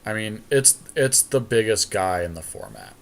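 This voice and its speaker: A man with a fairly low voice chats casually as a podcast co-host. He thinks aloud through his options in a card game, talking each one over in conversational style. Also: huge pitch swings, moderate pace, creaky. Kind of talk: casual chat while drafting a card game.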